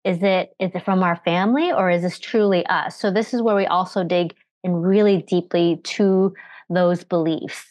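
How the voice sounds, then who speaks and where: A woman's voice: fairly low pitch, steady tone, moderate pace, a little mellow, no room sound. A woman in her thirties, a coach presenting slides on a video call from home, explaining earnestly with rhetorical questions while recovering from a cough. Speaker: energy management coach. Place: home room with bookshelves. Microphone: video call webcam.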